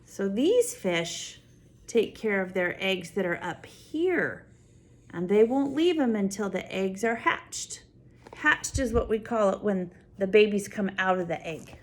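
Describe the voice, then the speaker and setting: An older woman reading a picture book aloud to small children, full of wonder, pausing to explain things simply and add asides. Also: mid-range voice, lively intonation. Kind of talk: read-aloud story time with spontaneous asides to a child.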